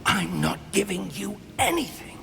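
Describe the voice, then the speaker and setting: Older wizard professor, gravelly but expressive, earnest n kindly, music behind. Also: male voice, sits high, moderate pace, background noise, exaggerated voice. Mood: defiant. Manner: earnest, thoughtful, gently formal. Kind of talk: cinematic video game acting. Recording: music under the voice.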